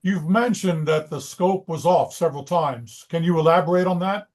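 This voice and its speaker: A man's voice: on the high side, normal speed, darkish tone. A man playing a casual, wry onlooker in a screenplay table read, speaking in a direct way. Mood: questioning.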